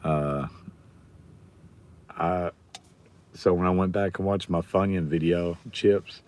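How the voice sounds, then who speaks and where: A middle-aged man with a hoarse, raspy voice, casually chatting with viewers on his live stream. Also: low-pitched, fairly even intonation, slow, a little mellow. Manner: rambling, chatting with viewers by name.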